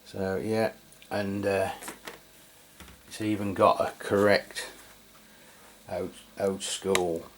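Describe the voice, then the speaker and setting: A man hosts a casual hands-on review in a deep voice. He talks in a matter-of-fact, rambling way, feeling for his words as he goes. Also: flat delivery, drawn-out words, pauses often, slight background noise. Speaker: radio review host.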